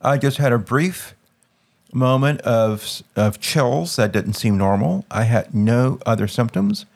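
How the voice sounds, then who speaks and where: A man with a mid-pitched voice that has plenty of gravelly creak. He speaks in casual conversation as a podcast guest, deadpan and teasing, and he presses his points insistently. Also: a little slow. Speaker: podcast guest, the host's husband. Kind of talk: casual chat.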